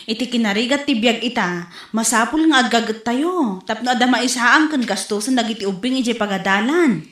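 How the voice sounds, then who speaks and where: A woman's voice: fairly high pitch, fairly quick, fairly bright tone, close to an everyday voice. A woman playing a hardworking, patient younger sister and young family's mother, speaking earnestly in a comedy audio drama. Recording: echoey, roomy sound.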